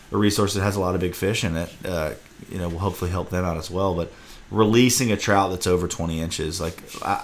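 A young man, hosting a casual podcast as a fishing guide, talking in a relaxed, rambling way over a video call from an indoor room. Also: low-pitched, a little hiss or hum. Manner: relaxed, rambling, talks through restarts.

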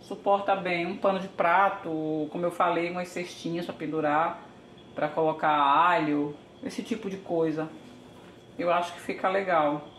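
A woman's voice: lowish voice, fairly even intonation, normal speed. An older woman from Brazil casually narrating a home how-to, chatty and thinking aloud while she works in her kitchen. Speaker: home vlogger. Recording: echoey kitchen, faint background hiss.

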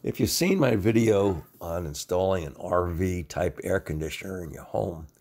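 An older man with an American accent gives halting, methodical how-to narration, pausing often as he explains a DIY job. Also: deep voice, expressive, gravelly, a little mellow. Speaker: DIY home-improvement presenter.

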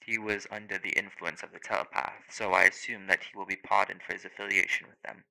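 A man's voice: low-pitched, little pitch movement, fast, gravelly, bright, forceful tone, full character voice, rough recording. A man playing a composed secret agent, giving formal, clipped reports in an audio drama. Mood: businesslike. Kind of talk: audio roleplay acting.

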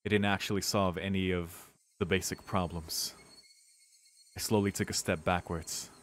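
A man's voice: low voice, steady tone, moderate pace, slight background noise. A man streaming a visual novel, reading the narration dramatically and breaking into casual, sweary reactions.

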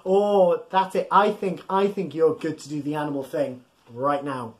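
A man's voice: a little high, normal speed. A man in his thirties hosting an interactive picture-book read-aloud, playful and exaggerated, talking straight to young listeners and encouraging them. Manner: animated, physically acting along. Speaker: children's storytime host. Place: small indoor room at home.

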